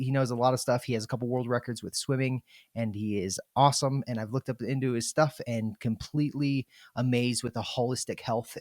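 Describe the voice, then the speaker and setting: A man's voice: mid-range voice, dry recording. Male podcast host, upbeat, effusive, rambling questions, dry sound. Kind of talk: podcast interview hosting. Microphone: remote, video call.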